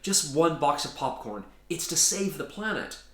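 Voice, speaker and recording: A man's voice: on the high side, animated, moderate pace, a bit bright, voice pushed up. A man voicing an earnest, easily exasperated teenage boy in a storybook, pleading and yelping. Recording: roomy echo.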